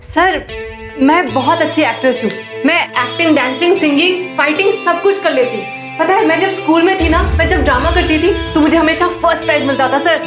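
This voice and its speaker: An actress playing a young aspiring actress from Bengal in a TV crime drama, earnest and pleading. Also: female voice, sits high, brisk, echoey room, over music, close to an everyday voice. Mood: eager.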